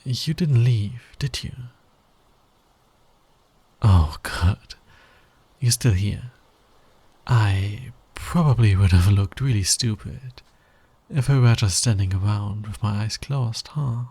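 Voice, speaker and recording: Man acting a husky, low-voiced flirty villain. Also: takes it slowly, pauses often, warm tone, no echo, exaggerated voice. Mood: playful, mock-innocent. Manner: smug, playful, drawling, teasing. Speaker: voice actor in audio roleplay. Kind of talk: flirty ASMR roleplay acting.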